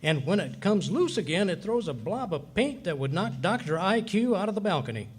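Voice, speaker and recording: A man's voice: sits high, brisk, breathy edge, exaggerated voice. A man playing a bumbling, boastful middle-aged husband who spins folksy tall tales in 1940s radio comedy style. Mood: exasperated, blustering. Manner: folksy, blustering, excitable tall-tale telling. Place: live staged radio play at a hotel venue.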